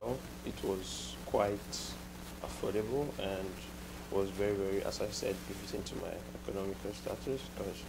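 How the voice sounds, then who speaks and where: Gravelly low man, hesitant. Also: fairly even intonation, normal speed. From Nigeria. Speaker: international student. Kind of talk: interview answers. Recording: noisy hum in the background.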